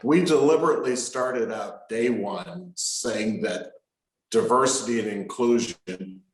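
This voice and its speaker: A man in his sixties, a company owner speaking on an online panel, in a measured, halting way with fillers. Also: on the low side, roomy sound, rough recording. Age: 60s.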